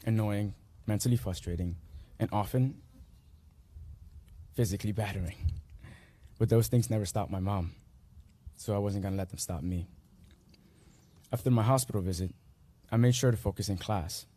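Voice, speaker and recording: A young man in his late teens, a native Spanish speaker from Guatemala, reading his personal essay aloud in earnest, measured short phrases at a school graduation ceremony. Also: on the low side, dark tone.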